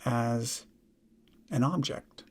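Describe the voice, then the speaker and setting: A man guiding a meditation in soft, breathy, measured short phrases with long pauses, often trailing into a near whisper. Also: medium pitch. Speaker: meditation guide. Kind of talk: guided meditation, slow instruction.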